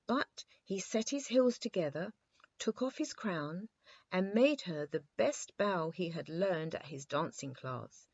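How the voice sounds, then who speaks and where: A woman's voice: lowish voice, a little mellow, dry recording, muffled recording. A woman narrating a scripted children's bedtime story.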